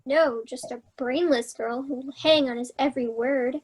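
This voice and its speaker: A woman playing a wry, quick-witted young heroine in a fairy-tale play reading, with a dry, teasing manner. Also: high voice, steady tone, breathy edge, poor audio. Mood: dry sarcasm. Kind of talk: staged reading of children's play.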